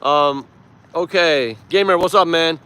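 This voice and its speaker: Male livestream host from Iran, native Persian speaker, slightly high voice, informal and emphatic, chatting and opining outdoors. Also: a little slow, pushed and bright, clean tone. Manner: emphatic, informal, swears freely. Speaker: live stream host. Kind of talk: casual livestream chat and political commentary.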